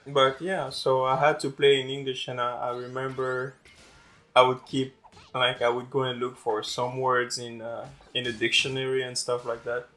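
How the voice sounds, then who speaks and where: A man's voice: medium pitch, level tone, moderate pace, a bit bright. A young man whose first language is French, chatting casually and rambling over gameplay as host of a gaming channel. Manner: chatty, rambling, repeats words.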